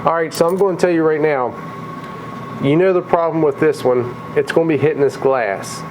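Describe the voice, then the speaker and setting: A man with a cold, a little raspy and tired, chatting casually through a coin pusher vlog in an arcade. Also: mid-range voice, noisy background. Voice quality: slightly mumbled from a lozenge. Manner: chatty, excitable at wins. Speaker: vlogger.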